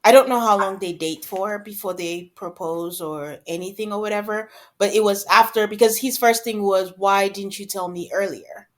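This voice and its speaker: A woman speaks as a podcast co-host in a casual, conversational style. Her voice sits at a middling pitch, and she slips into imagined quotes to illustrate her points. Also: normal speed, hardly any pauses. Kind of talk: casual discussion of reality TV.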